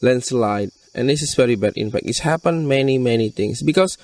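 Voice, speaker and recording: A man from Borneo with an Indonesian accent, giving earnest, halting interview answers in non-native English. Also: medium pitch, darkish tone, no echo, distant mic. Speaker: conservation group leader being interviewed.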